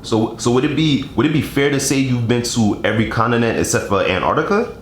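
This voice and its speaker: A man hosting a podcast, asking questions in a relaxed, informal way. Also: medium pitch, quick pace, slight background noise. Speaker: podcast host. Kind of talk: casual interview. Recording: roomy room sound.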